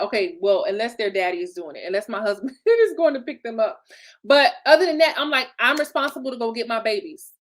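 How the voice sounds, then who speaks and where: A woman from Illinois with a Chicago accent and a slight Southern twang, talking as a business coach in a chatty, motivational way, laughing often and checking in with "right?" and "okay?". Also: on the high side. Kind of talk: motivational solo talk.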